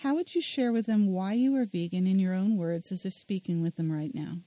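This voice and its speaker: Soft-spoken woman podcast host. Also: medium pitch, fairly quick, no room sound. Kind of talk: scripted intro and interview questions.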